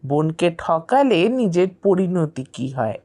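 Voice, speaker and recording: A woman voicing an exasperated but fair village mother in a cartoon, speaking in an earnest, expressive way. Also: fairly low pitch, unhurried. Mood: respectful approval. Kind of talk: cartoon voice acting.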